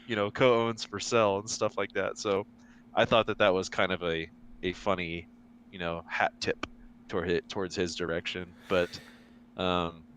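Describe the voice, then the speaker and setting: A man's voice: sits low, fairly bright tone, slight background noise. A man co-hosting a casual podcast, explaining things at length with many hesitations and fillers. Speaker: podcast co-host. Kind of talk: casual chat.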